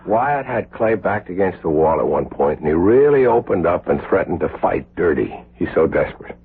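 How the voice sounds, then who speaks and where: A man's voice: sits low, lively intonation, normal speed, husky, full character voice. An actor playing an intense, earnest male surgeon with emphatic delivery in an American 1970s radio drama. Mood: grim, urgent. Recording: muffled, lo-fi old radio broadcast.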